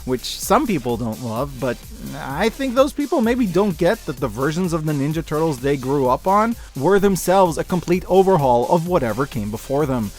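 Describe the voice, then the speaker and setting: Male host, 30s, slightly high voice, scripted but informal and emphatic commentary, faint music underneath. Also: big pitch swings, normal speed, a little mellow. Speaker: host of a year-in-review media video. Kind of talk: scripted enthusiastic video-essay commentary.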